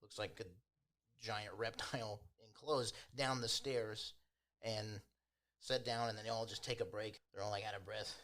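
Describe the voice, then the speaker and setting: A man's voice: fairly low pitch, steady tone, a little slow, a bit bright. A man running a tabletop game as game master, narrating in an informal, improvised, chatty way. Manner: informal, chuckles while narrating.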